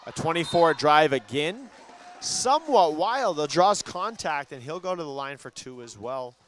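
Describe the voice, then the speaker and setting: A man calling a school basketball game live, brisk play-by-play that gets loud on big shots, with a ball bouncing in the gym behind him. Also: on the high side, expressive, a little hiss or hum. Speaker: play-by-play commentator.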